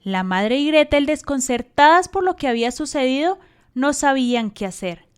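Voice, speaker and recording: A woman's voice: high-pitched, lively intonation, normal speed. A woman reading scripted narration as the storyteller of a radio drama.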